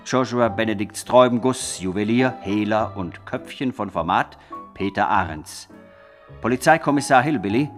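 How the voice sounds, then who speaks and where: A man with a fairly low voice announces credits in 1960s radio style. His delivery is scripted and mock-solemn, with faint music underneath. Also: normal speed, a bit bright.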